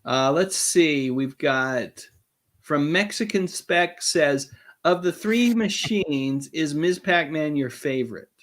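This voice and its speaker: A man's voice: a little high, expressive, slow, a bit bright. A man hosting a livestream, chatting casually and reading out viewer questions in a friendly, courteous manner. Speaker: livestream host.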